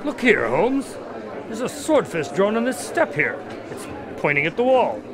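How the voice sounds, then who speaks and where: Radio drama sidekick doctor, played by a man: wry, skeptical, lively intonation, fairly high voice, crowd around. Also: a little slow. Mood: puzzled. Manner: wry, questioning, mildly exasperated. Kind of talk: audio drama dialogue.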